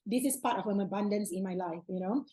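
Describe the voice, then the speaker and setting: A young adult woman hosting an interview over a video call, speaking in an effusive, gesturing way with many restarts, from a bright room at home. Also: mid-range voice, fast. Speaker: podcast host.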